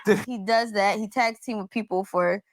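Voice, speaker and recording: A woman's voice: mid-range voice, fairly even intonation, moderate pace, no room sound. A woman with a mid-range voice chats through her points in a casual group debate. She reasons in slangy, conversational phrases, and the sound is close and dry. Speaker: panelist.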